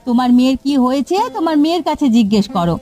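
A woman's voice: high voice, lively intonation, racing, dark tone, faint music behind. A woman voicing a fussy, exasperated village wife and mother in a cartoon fairy tale.

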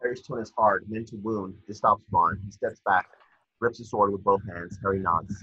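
A man's voice: fairly low pitch, normal speed, pushed and bright, husky, no room sound. A young man reading screenplay stage directions as narrator over a video call. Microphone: computer mic on video call. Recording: dull, dry video-call audio.

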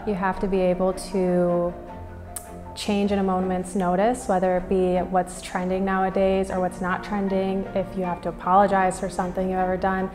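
A young American woman speaks as a student in an interview testimonial. Her pitch stays fairly even. The room rings with reverb, and music plays lightly underneath. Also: moderate pace. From Minnesota, United States. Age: young adult. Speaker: business school student giving a testimonial. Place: indoors against a plain wall and banner. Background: background music.

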